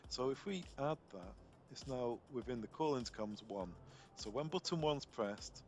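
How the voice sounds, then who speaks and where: A man presenting an unscripted software tutorial step by step, explaining as he goes and often repeating words as he finds his phrasing. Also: medium pitch, animated, normal speed, a little hiss or hum. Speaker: product trainer demonstrating intercom software.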